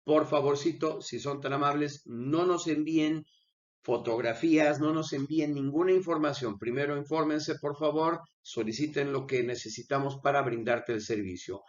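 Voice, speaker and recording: A man's voice: on the high side, normal speed. Unwell man, lo-fi commentary. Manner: earnest, pleading requests to viewers. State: unwell, with coughing fits. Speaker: channel host. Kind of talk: conversational commentary over a video.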